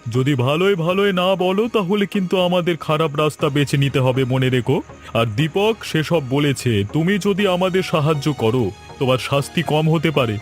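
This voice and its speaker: A man's voice: on the high side, lightly acted. Man playing a stern, commanding cartoon policeman. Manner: authoritative, accusing. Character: stern threatening male police officer, righteous and commanding. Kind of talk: Bengali cartoon dialogue.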